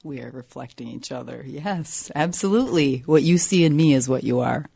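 Woman podcast guest, husky n mellow, short affirming answers, real casual. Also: deep voice, normal speed, no room sound, studio quality. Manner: affirming, short emphatic replies. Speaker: podcast guest, energy healer and hairstylist. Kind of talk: casual conversation.

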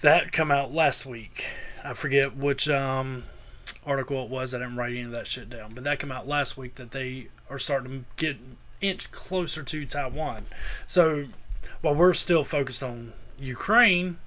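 A man's voice: mid-range voice, moderate pace, fairly bright tone. A man hosting a casual podcast, talking in a crude, opinionated way and swearing freely. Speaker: podcast host.

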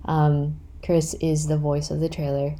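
A woman's voice: sits low, monotone, unhurried, warm tone. A woman of thirty with a Canadian accent, hosting a podcast and answering questions in a candid, reflective, casual way with some hesitation. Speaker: podcast host.

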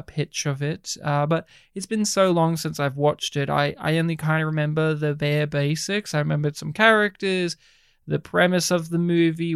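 A man's voice: on the high side, clear, resonant tone, dry recording, clean studio sound. Playful Australian man co-hosting a podcast. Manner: playful, joking, rambling. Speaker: podcast co-host. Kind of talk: casual podcast chat.

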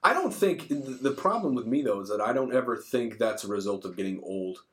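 Man, thirty, podcast co-host, casual chat, informal, sweary, mid-pitched voice. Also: expressive, fairly quick. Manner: informal, profane.

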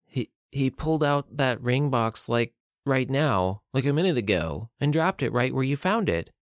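A man's voice: medium pitch, animated, normal speed, no room sound, studio quality, lightly acted. A man voicing a frightened young female shop worker for an audiobook, timid, shaky and stammering. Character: frightened young woman working in a coffee shop.

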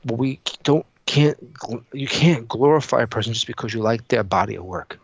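A man chatting casually on a podcast, telling stories in an animated way, acting out dialogue and swearing freely. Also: mid-range voice, normal speed, vocal fry, muffled recording, a little hiss or hum. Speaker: podcast co-host. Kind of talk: casual chat, animated storytelling.

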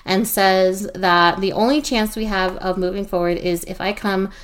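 A young woman co-hosting a casual podcast in a middle pitch. She backs up her co-host with quick agreement. When reading posts aloud she trips over a word now and then and keeps going. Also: steady tone. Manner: supportive, agreeing, reads aloud with small stumbles.

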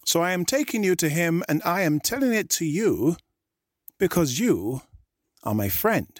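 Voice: mid-range voice, very animated, moderate pace, no room sound, clean studio sound. A storyteller voicing a gullible, simple-minded shark in a children's tale. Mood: earnest. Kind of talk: storybook reading.

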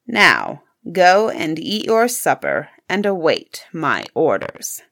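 Woman acting a stern, slow, menacing male beast. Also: deep voice, huge pitch swings, creaky, fairly bright tone, full character voice. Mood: grim, commanding. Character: frightful, angry, commanding male beast. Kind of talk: storybook character voice.

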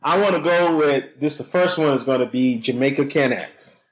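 A man's voice: mid-range voice, normal speed, poor audio. A talkative, boisterous man from the Bahamas with a Bahamian accent, telling his story as a guest in a casual interview. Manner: boisterous, talkative, repeats words. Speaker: guest, cannabis consultant.

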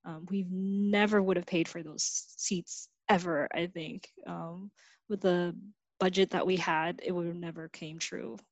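A woman born in Vietnam, a guest in an interview, talking in a relaxed, reflective way with many fillers. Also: on the low side, unhurried, no room sound, lo-fi sound. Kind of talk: casual interview conversation.

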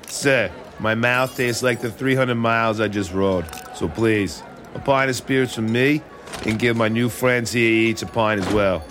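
An actor playing a brash young man from Boston with a Boston accent, telling a wild story excitedly. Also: medium pitch, a little slow, faint music behind, close to an everyday voice.

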